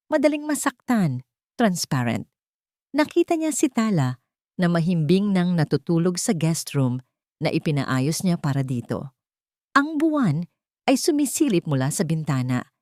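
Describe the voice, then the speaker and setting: A woman's voice: low voice, dark tone, dry recording, clean studio sound. A woman reading a scripted romantic drama as a storyteller. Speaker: narrator.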